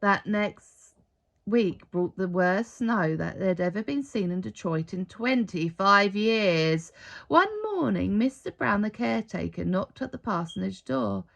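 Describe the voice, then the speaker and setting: A woman reading a children's story aloud in an expressive storytelling style. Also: mid-range voice, unhurried, gravelly. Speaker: storybook reader.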